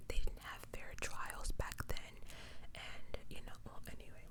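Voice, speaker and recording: Whispering woman, ASMR storyteller. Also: unhurried. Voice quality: unvoiced, breathy whisper. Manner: chatty, informal, repeats words for emphasis. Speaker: ASMR host. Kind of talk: whispered ASMR true crime storytelling with casual commentary.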